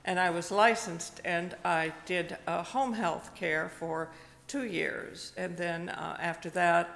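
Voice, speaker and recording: Slow, gravelly older woman preaching. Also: fairly low pitch. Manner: slow, gentle storytelling with pauses. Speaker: retired pastor preaching. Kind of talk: sermon with a personal story. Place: church sanctuary, indoors. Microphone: stand microphone at a pulpit. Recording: long reverb of a church hall.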